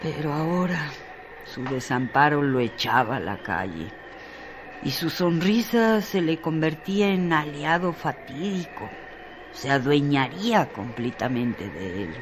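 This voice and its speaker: A man narrating a literary radio drama in a solemn, deliberate, dramatized way. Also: on the high side, expressive. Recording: noisy radio drama recording.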